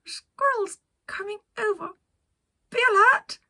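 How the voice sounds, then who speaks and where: A woman voicing a cheerful, excitable lady pilot in a children's story, gushing and gasping with theatrical delight. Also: extremely high pitch, a little slow, pitched above the performer's own voice, heavily acted. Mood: hushed alarm.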